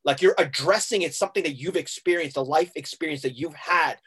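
A man's voice: on the high side, fairly quick, a bit bright, breathy edge. A middle-aged man from Hong Kong, a guest musician talking casually and acting out quoted exchanges as he tells stories. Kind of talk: casual interview talk.

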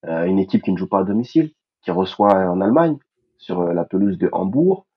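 A man co-hosting a casual football podcast, speaking in a measured way and repeating words for emphasis. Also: sits low, animated, breathy edge, dull audio. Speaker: podcast co-host.

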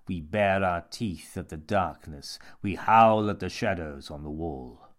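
Man voicing a sinister, theatrical, deep-voiced monk. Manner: formal, menacing, grandiose. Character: sinister, theatrical tall monk, a self-styled holy fool. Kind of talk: audiobook character voice.

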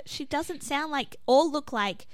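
A young woman with a high-pitched voice, co-hosting a casual podcast chat with enthusiasm. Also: lively intonation, measured pace, dry recording, clean studio sound. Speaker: podcast co-host.

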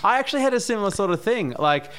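A man's voice: sits high, big pitch swings, fast, a loud crowd. A young adult man hosting a casual chat, teasing his guest with wry remarks.

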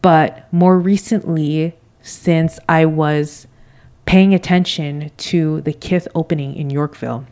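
A Canadian woman in her thirties with a low-pitched voice talks alone as a podcast host. Her delivery is conversational and candid, with casual slang mixed in. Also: darkish tone. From Toronto, Canada. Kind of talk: solo podcast monologue, conversational.

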